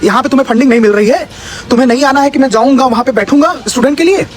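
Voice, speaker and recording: Young male commentator, very high pitch, mocking, full of rhetorical questions. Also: rapid-fire, faint music behind. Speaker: political commentator. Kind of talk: sarcastic political rant.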